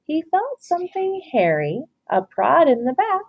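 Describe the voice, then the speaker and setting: A woman reading a children's picture book aloud as storyteller, expressive, with chatty asides that point things out and question her listeners. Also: sits high, measured pace, muffled recording.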